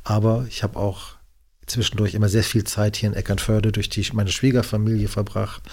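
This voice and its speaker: A man's voice: on the low side, flat delivery, a little fast, a little mellow. A man in his fifties from the Cologne area, chatting as an interview guest, friendly and rambling, now and then tripping over his words and correcting himself. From Cologne area, Germany. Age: 50s. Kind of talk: casual interview chat.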